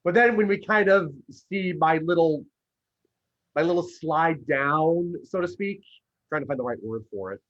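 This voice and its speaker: Higher-voiced man on a dull, lo-fi call. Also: a little slow. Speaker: actor, guest. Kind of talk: casual, conversational interview answers. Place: remote video call. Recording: dull lo-fi video call audio.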